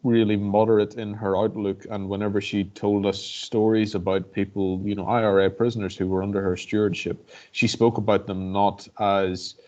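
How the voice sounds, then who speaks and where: This is a man with a Northern Irish accent and a lowish voice. He talks in a level, measured way and often stops to restart a thought. The sound is dull and lo-fi, like a video call. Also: level tone, mellow. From Northern Ireland. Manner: reflective, hesitant, many restarts and fillers. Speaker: barrister and guest speaker. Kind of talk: personal talk to an online audience.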